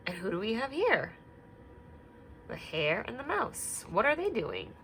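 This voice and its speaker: A woman teacher talking gently to young children, singing little hand-washing songs and reading a picture book aloud with many questions. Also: a little high, wildly swooping pitch, measured pace, slight background noise. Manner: gentle, sing-song, asks many questions.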